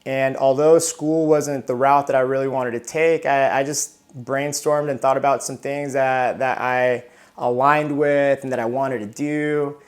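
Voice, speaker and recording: A man's voice: mid-range voice, fairly even intonation, measured pace. A man in his thirties from Southern California, a retired Marine telling his life story in a casual interview, often restarting and repeating words.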